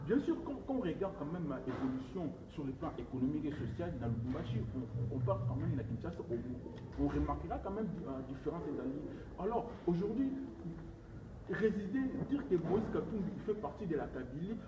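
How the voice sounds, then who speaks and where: A man's voice: a little high, very animated, fairly quick. Interjecting male interviewer, echoey and distant. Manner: interjecting, repeats phrases to press his point. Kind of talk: challenging political interview. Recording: distant, echoey, noisy room recording.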